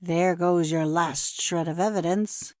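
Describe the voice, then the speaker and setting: A woman's voice: on the low side, fairly even intonation, measured pace, a little mellow, no echo, clean studio sound, close to an everyday voice. A woman playing a cruel male poisoner in an audiobook drama, taunting and menacing as she gloats. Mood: gloating.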